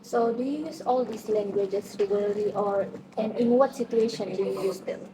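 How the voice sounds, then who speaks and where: A young woman student interviewing a classmate, asking her questions in a plain, steady way. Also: medium pitch, normal speed, background noise. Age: young adult.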